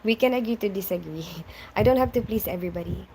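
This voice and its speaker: A young woman who grew up in the Philippines hosts a casual live stream, greeting viewers by name and replying to comments with frequent laughs. Her voice sits at a middle pitch, and she talks through a home mic. Faint background noise runs underneath. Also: fast talker, mellow, poor audio. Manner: chatty, reads viewer names and answers them, laughs often.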